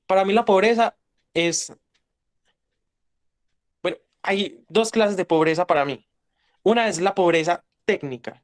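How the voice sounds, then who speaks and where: Emphatic high-voiced Paisa man. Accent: Paisa Colombian. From Colombia. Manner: emphatic, lecturing, blunt. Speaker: guest. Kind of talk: casual interview talk.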